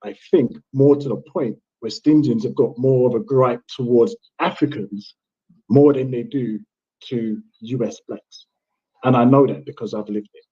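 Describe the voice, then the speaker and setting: A man's voice: mid-range voice, unhurried, slightly rough. A man born in England who grew up in Jamaica, a panel guest chatting casually and rambling a little, on a muffled online call. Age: adult father with children. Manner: relaxed, rambling with many restarts. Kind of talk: casual livestream chat.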